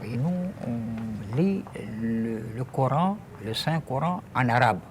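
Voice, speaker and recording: An older man from Madagascar whose first language is Gujarati, talking slowly and haltingly in a documentary interview as a merchant. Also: mid-range voice, animated, slight background noise. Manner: slow, halting, searching for words.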